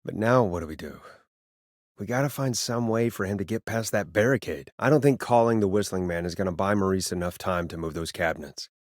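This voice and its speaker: A man's voice: low voice, fairly quick, no room sound, studio quality, natural voice. An actor playing a wry radio DJ in a video game, a man who talks in a conversational way. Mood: wry, pensive. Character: Forrest Nash, wry late-night radio DJ, a grown man. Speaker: radio host.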